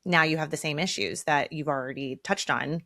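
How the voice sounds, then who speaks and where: A woman's voice: deep voice, moderate pace, bright, forceful tone, no room sound, studio quality. A woman hosting a podcast interview, engaged and affirming, framing long questions for her guests. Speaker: podcast host. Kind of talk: casual conversational interview.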